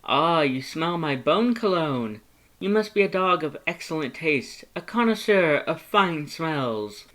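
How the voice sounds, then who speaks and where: A man's voice: fairly high pitch, lively intonation, measured pace, fairly bright tone, voice pushed up. A man voicing a very tall, cheerful, polite male skeleton with a formal, exuberant, declamatory delivery in a fan fiction story. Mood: delighted, polite.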